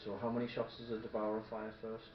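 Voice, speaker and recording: A man's voice: fairly low pitch, completely flat delivery, fast. A man chatting casually over a tabletop game, explaining rules to his opponent. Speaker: tabletop wargame player coaching opponent. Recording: muffled, echoey room with faint noise.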